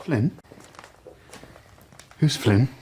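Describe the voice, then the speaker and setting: A man's voice: medium pitch, animated, very slow, mellow, slight background noise, rough recording. A man playing a playful but jealous, volatile father in a radio drama. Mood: suspicious, cold.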